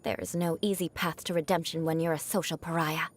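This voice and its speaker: A woman's voice: low voice, fairly even intonation, brisk. A young woman voicing a proud, haughty noblewoman knight in a video game, an outcast bent on revenge, with formal, dramatic diction. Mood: proud, defiant. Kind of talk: video game character voice lines.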